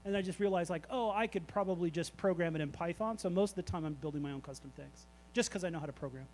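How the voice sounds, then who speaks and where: High-voiced man answering questions at a meetup. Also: fast, a little mellow, slight background noise, low-quality recording. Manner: loose and candid, fillers and swearing. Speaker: invited artist answering audience questions. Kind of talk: casual presentation Q&A. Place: event space at a meetup.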